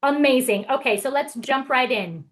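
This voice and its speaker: A woman's voice: sits high, normal speed. A woman from Brazil giving a casual, chatty presentation as a guest speaker, talking with enthusiasm.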